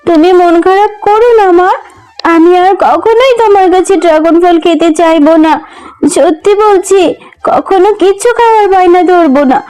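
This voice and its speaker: A woman's voice: extremely high pitch, moderate pace, mellow, rough recording. A woman voicing a sweet, eager little girl character in a children's cartoon, pleading and earnest in a childlike way. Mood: consoling. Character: Tumpa, sweet poor little fox girl, eager and obedient.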